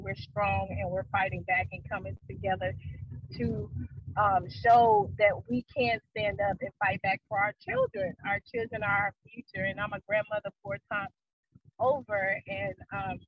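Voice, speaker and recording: A woman's voice: mid-range voice, highs cut off, a little hiss or hum. An older woman, a grandmother, speaking earnestly and fervently as an activist guest. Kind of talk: casual panel talk.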